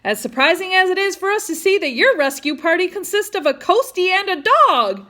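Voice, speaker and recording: A skeptical storybook coast guardsman put on by a woman, pitched high and loud. He exclaims his lines as if yelling back across a boat. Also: lively intonation, brisk, exaggerated voice. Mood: scoffing.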